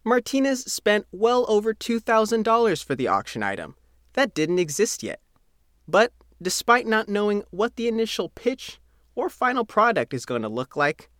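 Lively male feature narrator, close and dry. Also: sits high, no room sound. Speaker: reporter reading own news feature. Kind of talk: scripted narration of a feature article.

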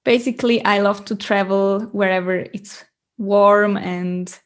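Casual interview guest: woman, very unhurried, searching for words. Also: medium pitch, fairly even intonation. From Switzerland. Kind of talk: casual interview talk in a second language.

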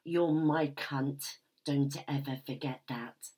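A woman's voice: a bass voice, steady tone, slow pace. A woman voicing a dominant, commanding man with a cold, contemptuous tone, in an audiobook.

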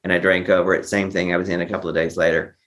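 A man's voice: sits low, level tone, racing, fairly bright tone. A man telling a personal story as an interview guest, speaking candidly and with a theatrical streak. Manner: candid, theatrical, acts out quoted remarks. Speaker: interview guest, memoir author.

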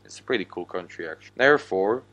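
A man's voice: low-pitched, expressive, fast talker, fairly bright tone. A young man from Slovakia, a native Slovak speaker with a Slovak accent, hosting his own podcast in a casual monologue and swearing freely. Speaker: podcast host.

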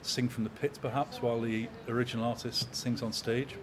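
A man in his sixties, an opera company manager, talking in a measured, anecdotal way in an interview. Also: medium pitch, steady tone. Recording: crowd chatter in background.

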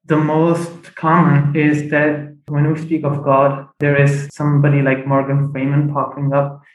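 A young man whose first language is Punjabi, a podcast guest, explaining his views in a thoughtful, measured way with frequent fillers. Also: a little high, completely flat delivery, roomy sound, low-quality recording. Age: young adult. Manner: thoughtful, measured, uses many fillers like "you know". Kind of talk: reflective conversational explanation.

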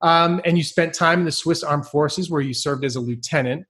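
A man hosting a podcast, talking in an enthusiastic, energetic way and laughing easily as he introduces his guest. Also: on the high side, moderate pace. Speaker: podcast host. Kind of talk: upbeat podcast interview.

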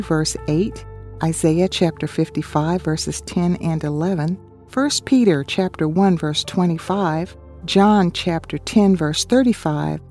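A woman co-hosting a radio ministry, reading a scripted Bible study in a measured way and citing chapter and verse. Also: lowish voice, warm tone.

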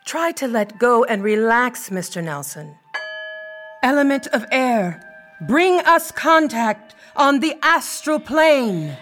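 An actress playing a serene, sinister cult leader in an audio play, measured, ceremonial and coolly controlled. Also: female voice, fairly high pitch, sing-song, a bit bright, soft background music, full character voice. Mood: serene, commanding.

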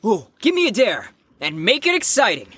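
A woman's voice: mid-range voice, huge pitch swings, moderate pace, fairly bright tone, slightly rough, full character voice. A woman playing a brash, rebellious young slacker in an audio drama, teasing and sarcastic in slangy speech.

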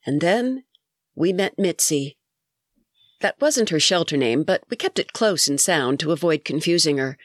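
A middle-aged woman hosting her own show, sounding stuffy from a cold as she reads a reflective, gently wry personal story. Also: low-pitched, expressive, measured pace, dry recording, studio quality. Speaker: podcast host. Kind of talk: reading a personal essay.

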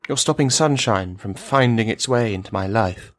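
A man's voice: lowish voice, moderate pace, lightly acted. A man performing a radio play monologue as a bitter, brittle, menacing army veteran, rambling and brooding as he addresses a silent listener. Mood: irritated, menacing.

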